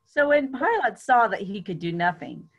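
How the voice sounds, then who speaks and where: A woman's voice: mid-range voice, sing-song, moderate pace, crowd noise. A woman giving a dramatic reading of scripture as a church reader.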